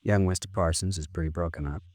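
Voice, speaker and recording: An actor playing a dutiful male secretary in a 1950s radio drama, quiet, polite and hesitant. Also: sits low, steady tone, fast, no echo, clean studio sound, close to an everyday voice. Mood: subdued, awkward. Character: subdued, dutiful male secretary to a rich old man.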